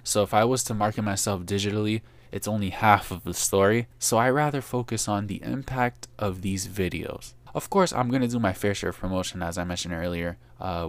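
A young man talking alone on his own podcast, reflective and questioning himself, a voice he has been told is monotone. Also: on the low side, no echo. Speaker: podcast host and small YouTuber. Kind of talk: solo podcast monologue.

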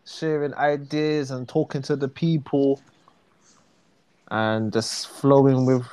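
A man's voice: medium pitch, little pitch movement, slow pace, darkish tone, smooth. A man co-hosting a live stream, chatty and laid-back, using street slang and laughing often. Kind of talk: casual live chat.

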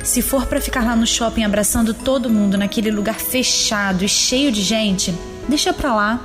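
A woman playing an affectionate, wistful, gently teasing young granddaughter with a Brazilian accent in a scripted audio drama monologue. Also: fairly high pitch, normal speed, over music, close to an everyday voice. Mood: tender, wistful.

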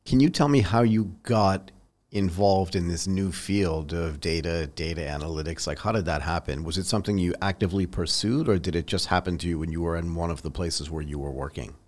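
A man's voice: deep voice, fairly quick, darkish tone. A man in his fifties interviewing a guest, asking curious questions and encouraging her answers. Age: 50s. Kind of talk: conversational interview.

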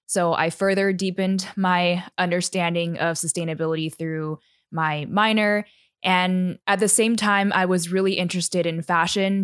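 A young woman from Taiwan speaks as a podcast guest, thoughtful and articulate. Her voice sits a little low, and the recording is dry and close. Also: dry recording. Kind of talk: interview conversation.

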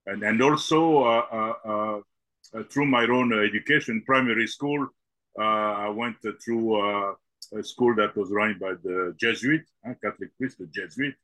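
Low bright-toned man, halting and dry. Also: dry recording. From Congo. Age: middle-aged. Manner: halting, many uh fillers and repeated words. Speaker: guest. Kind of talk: podcast interview answers.

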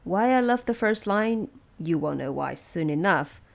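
A woman hosting production notes, explaining things in a measured, semi-scripted and lightly wry way. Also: fairly low pitch, animated, vocal fry. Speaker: host of production notes for an audio drama.

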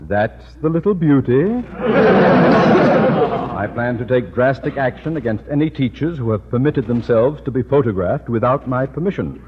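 A man's voice: mid-range voice, expressive, measured pace, close to an everyday voice. Muffled old radio recording, noisy. Male actor as pompous, blustering principal with formal, ornate diction. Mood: gloating, curt. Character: pompous, blustering, tyrannical middle-aged high school principal. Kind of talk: 1950s radio comedy acting.

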